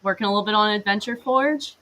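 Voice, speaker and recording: A woman's voice: medium pitch, quick pace, sharp tone, rough recording. A woman talking casually on a livestream as a marketing team member. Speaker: company marketer, stream guest. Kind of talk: casual chat.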